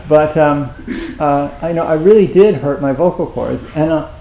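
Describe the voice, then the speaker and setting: A man giving a dharma talk as a Zen teacher, speaking haltingly with many restarts and a wry, self-mocking humor. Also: fairly high pitch, gravelly, dark tone, echoey room, low-quality recording. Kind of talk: dharma talk at a meditation retreat.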